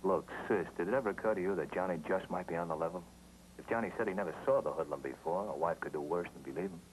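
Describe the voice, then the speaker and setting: An actor playing a cocky, defensive American sailor in a 1950s TV crime drama. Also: male voice, low-pitched, fast, slight background noise, close to an everyday voice, poor audio. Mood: defensive, accusing. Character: cocky, defensive tough-guy sailor brother. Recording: old TV soundtrack with music.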